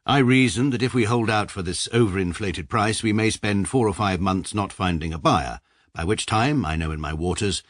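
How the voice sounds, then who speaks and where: An actor with an English accent playing a stiff, formal barrister who narrates in a dry, deadpan way. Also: male voice, on the low side, moderate pace, dry recording, close to an everyday voice. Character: stiff, pedantic upper-class male barrister. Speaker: lawyer narrator.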